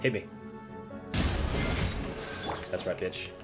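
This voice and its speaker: A game streamer giving casual live commentary, swearing freely and playfully, shifting between muttering and exclaiming. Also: extremely slow. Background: game music.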